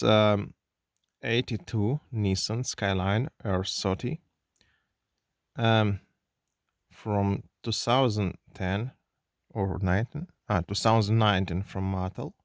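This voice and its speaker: Man, Russian accent, second-language English, slow and halting with fillers; toy reviewer in a dry, close desk recording. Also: fairly low pitch, no room sound. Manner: halting non-native English with many fillers. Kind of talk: hands-on unboxing review. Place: indoors at a desk.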